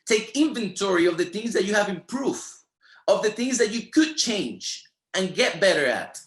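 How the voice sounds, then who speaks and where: A man's voice: high-pitched, very animated, moderate pace, echoey room. A man whose first language is Spanish, speaking with a Spanish accent and giving a motivational training talk in an emphatic, repetitive, preacher-like rhythm. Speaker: network marketing trainer.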